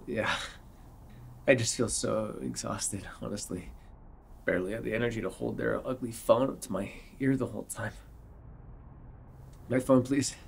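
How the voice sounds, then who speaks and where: A man playing a panicked, exhausted newly turned vampire in an ASMR audio roleplay, stammering and often dropping into a breathy whisper. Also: medium pitch, fairly even intonation, a little hiss or hum, natural voice. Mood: exhausted, urgent. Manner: halting, stammering, breathy sighs and frequent swearing.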